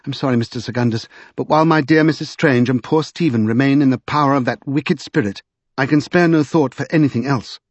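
An imperious, indignant noblewoman from a storybook, acted out by a male narrator. The pitch is raised, and the speech is formal, emphatic and urgent. The voice is a little husky, on a dull recording. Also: a little fast, dry recording, close to an everyday voice. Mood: earnest, determined. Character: indignant, strong-willed aristocratic lady, urgent and imperious.

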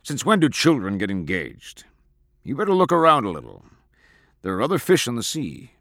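Audiobook role: disapproving, snorting dad read by a man, animated intonation, dry sound. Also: medium pitch, normal speed, no room sound, pitched above the performer's own voice, full character voice. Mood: scornful. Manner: snorting, pointed, condescending. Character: disapproving, snide father pressuring his daughter. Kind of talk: audiobook character acting.

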